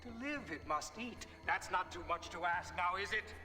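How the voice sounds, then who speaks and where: Sinister house master, seductive, theatrical game villain, highish wide-swinging pitch, distant in a hall. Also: male voice, expressive, sharp tone, exaggerated voice. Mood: seductive, tempting. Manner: theatrical, slow, dramatic pauses, insinuating. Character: sinister seductive master of a living haunted house, tempting victims. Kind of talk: 1990s adventure game cutscene acting. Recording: long hall reverb, distant, music underneath. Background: music.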